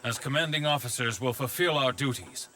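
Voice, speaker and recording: An actor playing a stern, loyal general of ancient China in a video game cutscene, speaking in a measured, dutiful way. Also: male voice, medium pitch, pushed and bright, dry recording, lightly acted. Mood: steadfast. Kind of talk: dramatic game cutscene acting.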